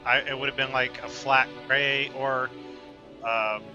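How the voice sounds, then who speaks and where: A man's voice: mid-range voice, level tone, unhurried, highs cut off, over music. A man giving casual commentary as he plays a video game, musing aloud to his viewers.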